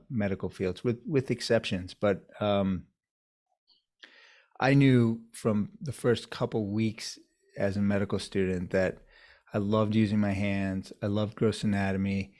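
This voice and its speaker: A man, a urologist being interviewed, answering in a measured, thoughtful storytelling way. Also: fairly low pitch, darkish tone. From United States, New York area. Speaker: urologist guest.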